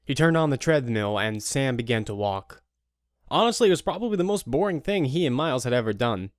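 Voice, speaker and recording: A man narrates an audio drama from a script. His voice sits at an ordinary male pitch, and the recording is very dry with no room sound. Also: lively intonation, brisk, no echo.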